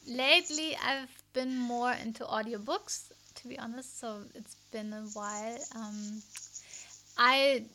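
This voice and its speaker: A woman's voice: on the high side, takes it slowly, sharp tone. A woman with a German accent, a podcast host chatting casually and searching for words in English. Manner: thoughtful, hesitant, many fillers. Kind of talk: casual interview chat.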